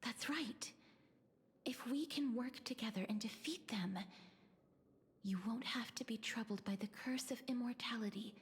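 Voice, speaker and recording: A woman voicing a gentle, resolute young heroine in a video game, speaking in a soft, composed, earnest way. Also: fairly high pitch, moderate pace, natural voice. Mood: earnest, solemn. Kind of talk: dramatic video game voice acting.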